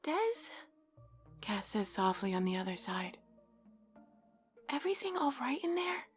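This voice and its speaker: A woman voicing a soft-spoken worried friend. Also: medium pitch, sing-song, unhurried, soft background music. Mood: concerned. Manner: soft, quiet, gentle.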